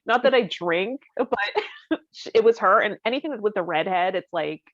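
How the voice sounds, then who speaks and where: A woman's voice: medium pitch, lively intonation, normal speed, a bit bright, no echo. Giggly woman podcast co-host, dull dry recording. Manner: giggly, self-deprecating. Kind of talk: casual comedic chat.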